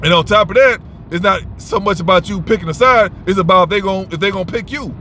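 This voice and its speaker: Blunt, profane man with a bright voice. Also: high voice, quick pace, slight background noise. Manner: blunt, rambling, profane, with many restarts. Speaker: host giving advice. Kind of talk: casual unscripted monologue.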